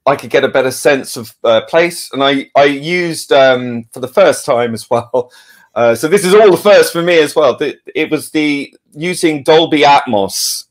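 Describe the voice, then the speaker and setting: A man in casual interview chat as a guest, halting and chuckly, talking about his work in a self-deprecating way. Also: a little high. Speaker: audio drama producer and director, podcast guest.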